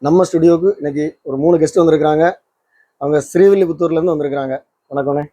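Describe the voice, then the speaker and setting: A man's voice: fairly high pitch, steady tone, racing, warm tone. A man hosting a repair channel, explaining things casually and often checking with tag questions. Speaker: host of a vintage radio repair channel.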